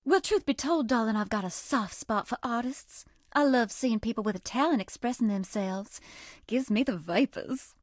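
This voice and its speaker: Woman acting a sugary, high-voiced Southern belle. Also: animated, normal speed, no echo, studio quality, close to an everyday voice. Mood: sweet, teasing. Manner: coy, sugary, folksy. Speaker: voice actress. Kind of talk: video game character voice lines.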